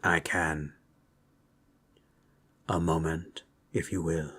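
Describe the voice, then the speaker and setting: A man playing a calm, formal undead spellcaster in a fantasy audio drama, with a husky voice and measured, solemn manner. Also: very deep voice, full character voice.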